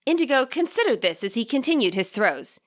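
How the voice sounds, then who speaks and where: A woman reading a fan fiction story aloud as scripted, expressive narration. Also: on the high side, fairly quick.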